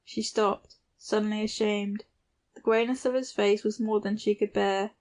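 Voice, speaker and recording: Woman narrating an old fiction audiobook, pretty even delivery, medium pitch. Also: normal speed. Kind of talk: literary scripted narration.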